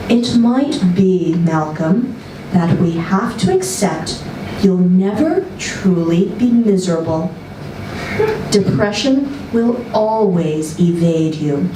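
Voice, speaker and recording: A woman voicing a stern, disapproving female therapist in a story reading, with slow, deliberate, clipped delivery. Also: fairly low pitch, expressive. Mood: grave, deliberate. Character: stern, frowning woman therapist prescribing misery. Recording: roomy, distant mic, faint noise.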